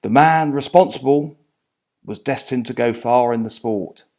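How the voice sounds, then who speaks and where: Mature male author for a measured nonfiction reading; mid-pitched, noticeably creaky delivery. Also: a little mellow. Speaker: author and sports journalist reading own book. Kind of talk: scripted book reading.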